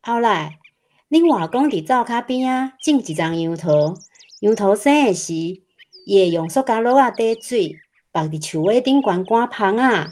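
A woman's voice: mid-range voice, lively intonation, a little slow, a little mellow, lightly acted. A woman acting a mother with a Taiwanese accent who fondly recalls her poor country childhood in a radio drama. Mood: fond, animated. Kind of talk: radio drama storytelling in dialogue.

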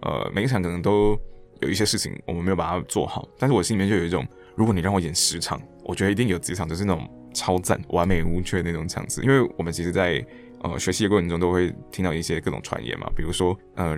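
Low, fast-talking man with a creaky voice. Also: faint music behind. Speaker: podcast guest, theatre director and actor. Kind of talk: casual interview chat with anecdotes.

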